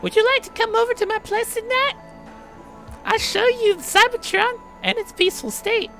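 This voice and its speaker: Man putting on a shy, very high-pitched anime girl. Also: moderate pace, creaky, bright, forceful tone, faint music behind, heavily acted. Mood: shy, hopeful. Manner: hesitant, flustered.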